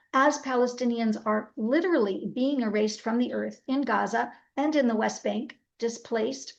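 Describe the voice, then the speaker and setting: Higher gravelly woman, dull lo-fi audio. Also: darkish tone. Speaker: book editor. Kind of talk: panel presentation.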